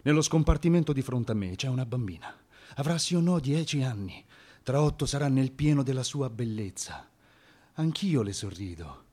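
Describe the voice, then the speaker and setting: A man's voice: medium pitch, expressive, moderate pace, dark tone, slightly rough. A man telling a comic first-person story for radio in a theatrical, colloquial way. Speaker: actor-narrator.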